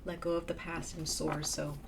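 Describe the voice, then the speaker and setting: Tarot reader, female, gravelly and a little nasal from a cold, chatty with whispered asides. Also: low voice, flat delivery, normal speed, slight background noise. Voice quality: slightly nasal. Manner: chatty, drops to a whisper in invocations. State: getting over a cold. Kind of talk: casual tarot reading.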